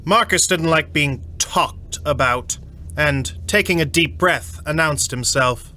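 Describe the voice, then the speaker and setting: A man narrating a scripted sci-fi audio drama in a measured, suspenseful, slightly wry storytelling manner. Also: fairly high pitch, sharp tone, faint music behind.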